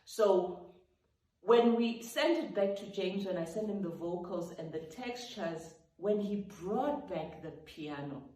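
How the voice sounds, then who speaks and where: A woman's voice: medium pitch, slow, mellow. A woman, a gospel singer-songwriter telling the story behind her song in a reflective, casual way. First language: Sotho. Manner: reflective, breaks into sung snippets and vocal sounds. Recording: echoey room, mic at a distance.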